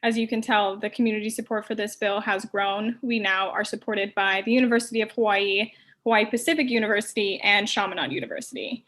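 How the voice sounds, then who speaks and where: A woman's voice: mid-range voice, steady tone, fast, fairly bright tone. A woman advocating for a bill at a hearing, speaking confidently and persuasively. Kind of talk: persuasive testimony.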